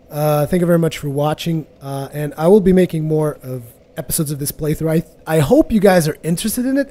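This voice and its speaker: Higher-pitched man, mellow, chatty. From Portugal. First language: Portuguese. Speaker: gaming video host. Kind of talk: casual chatty gameplay commentary.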